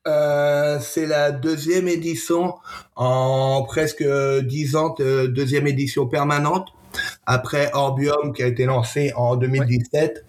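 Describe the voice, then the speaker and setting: A man presenting a product conversationally as an interview guest, pausing often and repeating words as he goes. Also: on the high side, fairly even intonation. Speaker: gin brand representative, interview guest.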